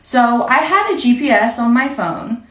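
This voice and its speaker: A woman's voice: a little high, animated, normal speed, a bit bright. A young woman telling a casual personal story in a conversational, self-deprecating way, peppered with "like". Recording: roomy echo, distant from mic.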